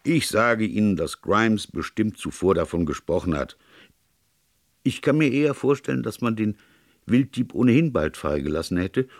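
A man's voice: sits low, no room sound, studio quality. A man voicing a skeptical, exasperated police inspector with a blunt, incredulous manner in an audiobook. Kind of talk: audiobook character dialogue.

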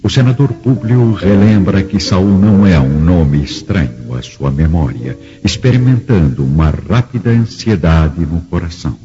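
Deep-voiced Brazilian male drama narrator. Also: animated, moderate pace, hardly any pauses. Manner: solemn, literary. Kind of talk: dramatic scripted radio narration. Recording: muffled audio, music underneath.

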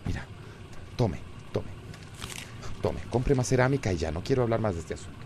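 A domineering Costa Rican husband in a radio drama, gruff and scolding. His low voice swings widely in pitch, over clearly noisy ambience. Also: animated, normal speed, dark tone. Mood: defensive, impatient. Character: domineering, irritable husband who belittles his wife. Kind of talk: radio soap opera acting.

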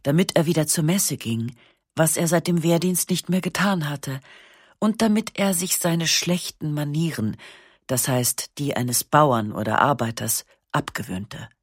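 A woman narrates a memoir for radio in a calm, measured way, her voice slightly husky. The studio sound is close and dry, with no room around it, and her pauses are slow and matter-of-fact. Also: low voice, no echo. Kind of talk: literary first-person narration, radio reading.

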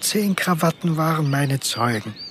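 An actor playing a weary, self-deprecating office worker in his fifties, speaking with resigned pauses in a radio drama. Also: on the high side, animated, a bit bright, a little hiss or hum. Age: 50s. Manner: deliberate, pausing, resigned.

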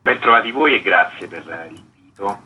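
A man's voice: fairly low pitch, lively intonation, normal speed, bright, forceful tone, slight background noise. A man speaking as a festival's artistic director, explaining things as a guest in a radio interview.

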